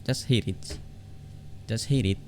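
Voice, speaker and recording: Male tutorial vlogger, very low creaky voice, informal and halting, repeats himself, faint background noise. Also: darkish tone. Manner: halting, repeats words and phrases. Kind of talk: informal step-by-step software tutorial. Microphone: separate desktop condenser microphone.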